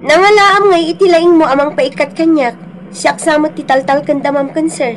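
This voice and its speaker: A woman's voice: high voice, moderate pace, slight background noise, close to an everyday voice, poor audio. A woman playing a feisty, defiant grocery saleswoman, brash and taunting with an Ilocano accent in a radio drama.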